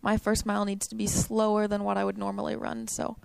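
Young woman with a gravelly, flat voice. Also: mid-range voice, brisk, no room sound. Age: young adult. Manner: chatty, upbeat, frequent "um" and "like". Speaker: college cross country runner. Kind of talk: interview answers.

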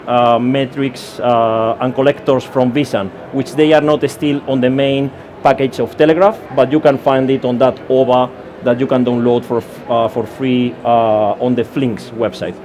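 A man's voice: medium pitch, steady tone, moderate pace, darkish tone. Spanish-accented man giving a tech talk. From Spain. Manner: hesitant, many fillers and restarts. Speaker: conference speaker. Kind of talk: technical conference talk. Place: conference session room.

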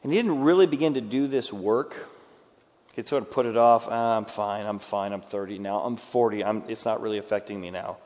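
A man preaches a sermon in a big space, his words trailing off into a long reverb. He acts out the people he quotes and swings between loud bursts and soft, hushed lines. The recording sounds dull and muffled. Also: on the low side, a little fast. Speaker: pastor.

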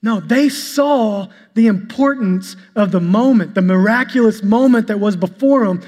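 High-voiced male pastor preaching. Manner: calls himself monotonous and boring-voiced; preaching, shifts to a soft, slow prayer. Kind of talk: sermon. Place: church sanctuary. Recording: long hall reverb with soft instrumental music.

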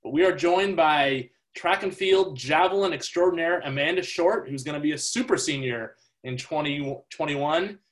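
A man's voice: on the high side, moderate pace, few pauses. Easygoing male podcast host. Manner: friendly, loose, with fillers. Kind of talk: podcast interview.

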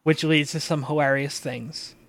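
A man hosting a casual chat about a tabletop game as its storyteller, speaking in a halting, informal way and swearing casually. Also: fairly high pitch, steady tone, a bit bright, dry recording.

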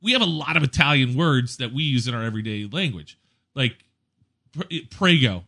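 A man who works as a DJ, chatting casually and telling stories in an animated way, acting out exchanges. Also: medium pitch, a little fast, gravelly. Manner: animated storyteller, acts out exchanges. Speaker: event DJ. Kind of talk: casual anecdotal chat.

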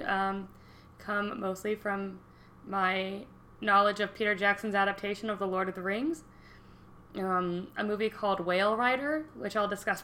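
A woman's voice: mid-range voice, sharp tone, slight background noise. A young woman co-hosting a podcast in casual chat, joking and rambling freely. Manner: chatty, joking, laughs often. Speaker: podcast co-host.

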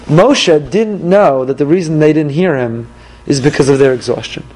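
Male rabbi, high voice, animated lecturer, quizzes the room. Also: moderate pace, rough recording. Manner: animated, questions the audience, repeats key phrases. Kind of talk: Torah class lecture.

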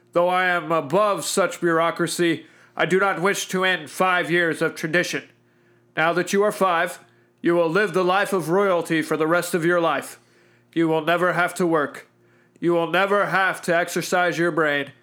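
Man acting alien royal patriarch, booming declamation, forceful bright tone, stern and lofty, sci-fi audio story.

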